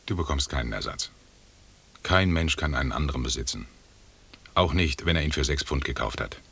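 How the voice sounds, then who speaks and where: Very deep, husky expedition commander. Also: male voice, animated, pushed and bright, exaggerated voice. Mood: calm, stern. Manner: measured, formal, authoritative. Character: calm, imperious British expedition leader. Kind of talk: German radio drama acting.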